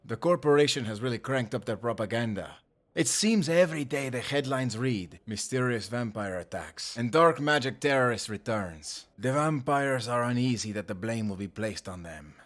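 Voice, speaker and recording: A man's voice: mid-range voice, expressive, moderate pace, natural voice. A man voicing a prim, cultured, snobby warlock in an audio roleplay, speaking formally and precisely in a posh manner. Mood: grave. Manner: formal, posh, precise diction without contractions.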